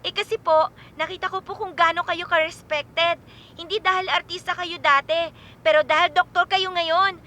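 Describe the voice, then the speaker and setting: Woman acting very high, bright, eager young girl. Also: steady tone, moderate pace. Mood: earnest, eager. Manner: eager, respectful, gushing. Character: excitable young girl patient who admires her doctor. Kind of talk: radio drama acting.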